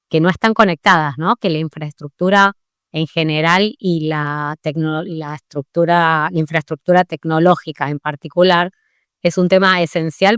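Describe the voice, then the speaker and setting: Argentine woman podcast host, lowish smooth voice, dry close sound. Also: no room sound. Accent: Argentine Spanish. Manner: thoughtful, thinks aloud with restarts. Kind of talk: conversational interview.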